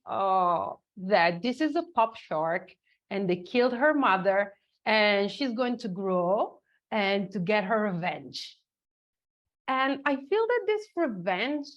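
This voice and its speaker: Woman in an academic chat, talks slowly, searches for words, dull lo-fi call sound. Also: medium pitch. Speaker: conference participant asking a question. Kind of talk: informal academic discussion. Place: virtual conference call. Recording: dull, lo-fi video call audio.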